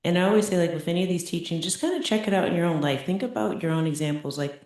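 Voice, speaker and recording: Low-voiced woman teacher in an echoey room. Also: very fast, a little mellow. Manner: chatty, often ends points with "right?" and laughs lightly. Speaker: Buddhist meditation teacher. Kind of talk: conversational dharma talk. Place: meditation center teaching room.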